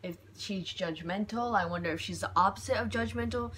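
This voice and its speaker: A young woman from the States talks straight to her camera at home in a candid, rambling way, circling back with "like" and restarting her sentences. Her voice sits at a middle pitch for a woman. A faint hiss of background noise sits under the recording. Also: brisk, a bit bright. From United States. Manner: candid and rambling, lots of "like" and restarts, heating up when frustrated. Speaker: vlogger. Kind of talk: personal reflective vlog. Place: home bedroom by a window.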